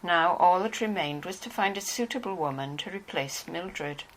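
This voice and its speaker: A woman's voice: fairly low pitch, expressive, normal speed, rough recording. A woman narrator reading scripted narration from a dramatized novel.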